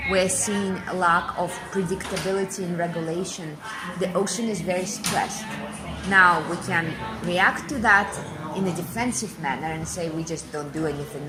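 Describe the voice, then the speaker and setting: A woman in her forties from Kazakhstan answers interview questions in a fairly low voice. She talks fluently and with emphasis, driving points home by repeating her phrases. Music plays clearly behind her. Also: poor audio. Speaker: interview guest, business speaker.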